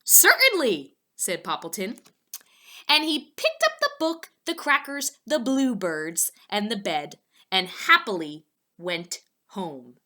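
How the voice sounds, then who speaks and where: Very bright, animated woman reading to children. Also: medium pitch. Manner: expressive, pausing with asides and questions to listeners. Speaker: storybook reader for children. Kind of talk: animated read-aloud for young children.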